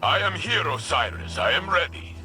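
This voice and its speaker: A man's voice: fairly high pitch, expressive, normal speed, pushed and bright, soft background music. A man playing a steadfast, earnest heroic warrior in a video game, speaking with impassioned, emphatic delivery. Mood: resolute. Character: steadfast, passionate heroic male warrior pleading for mercy. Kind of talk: dramatic video game acting.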